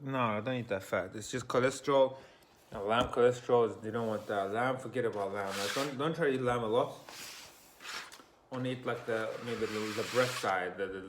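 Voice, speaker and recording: A man's voice: on the low side, fairly bright tone. A man from Azerbaijan with a mixed British and American accent, ranting casually and repetitively to camera in slangy English. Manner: chatty, repetitive, slangy, frequent "you know what I'm saying". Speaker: vlogger.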